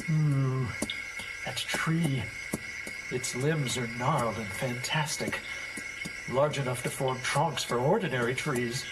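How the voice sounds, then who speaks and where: A man's voice: mid-range voice, very noisy, natural voice. An actor playing a timid, jittery, superstitious man riding at night in a theatrical audio drama, muttering hesitantly to himself. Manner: hesitant muttering to himself, breaking into nervous whispers and exclamations. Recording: distant voice under loud music.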